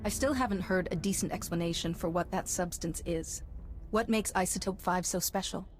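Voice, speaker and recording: A woman's voice: on the low side, normal speed, soft background music, lightly acted. A woman voicing a composed, confident Jedi warrior in a sci-fi game, speaking firmly and formally. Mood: determined. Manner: firm, formal, self-assured. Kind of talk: scripted game dialogue.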